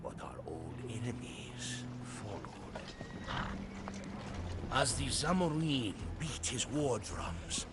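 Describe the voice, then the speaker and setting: A man's voice: lowish voice, full character voice. A man playing a proud Portuguese seafarer, narrating a strategy game's storybook campaign in a grave, theatrical manner. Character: proud Portuguese seafarer recounting his captain's conquests. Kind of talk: dramatic scripted storybook narration for a strategy game. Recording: studio voice over prominent music.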